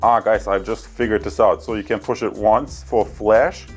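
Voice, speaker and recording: A man with a fairly low voice talks viewers through an unboxing at a table. He is casual and chatty, calls them guys, and fills pauses with ums. Faint music sits underneath. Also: steady tone. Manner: chatty, addresses viewers as guys, many fillers. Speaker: tech reviewer. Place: indoor room with wooden table.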